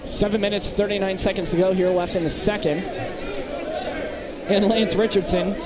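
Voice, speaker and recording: A man doing live play-by-play commentary of a basketball game from a high school gym. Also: fairly even intonation, normal speed. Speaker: play-by-play announcer. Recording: loud crowd and gym noise. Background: basketball crowd, bouncing balls.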